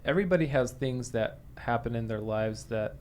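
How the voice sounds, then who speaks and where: A man's voice: mid-range voice, lively intonation, moderate pace, darkish tone, slight background noise. A man hosting a podcast interview, talking casually with frequent restarts. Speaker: podcast host. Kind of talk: conversational interview.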